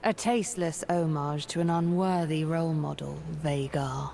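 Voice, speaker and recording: A woman playing an imperious, scheming sorceress in a video game, cold and disdainful, with haughty, measured, theatrical delivery. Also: low voice, fairly even intonation, gravelly, warm tone, a little hiss or hum. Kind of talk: video game character voice lines.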